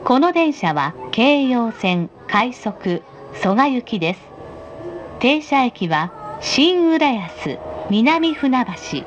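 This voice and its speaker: Slow, high-voiced woman announcing on a train. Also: sing-song. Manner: polite, formal, carefully enunciated. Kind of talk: scripted in-car station announcement. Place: inside a train car, played over speakers. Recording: muffled, noisy recording inside a moving train. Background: train rumble and noise.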